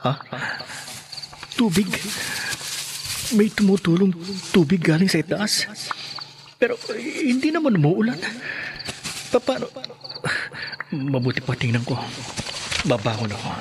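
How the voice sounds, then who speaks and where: A terrified young man stammers in a raised, high voice. He speaks from a radio horror play. Crickets and insects hiss clearly behind him. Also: very animated, unhurried, pauses often, full character voice. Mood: frightened. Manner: stammering, panicked. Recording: radio drama with cricket noise.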